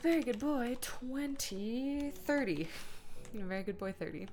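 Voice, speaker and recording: A woman's voice: a little high, animated, unhurried, darkish tone. A woman streamer chatting casually while she works at a computer, talking through small tasks in short asides. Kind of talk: casual livestream chat while editing images.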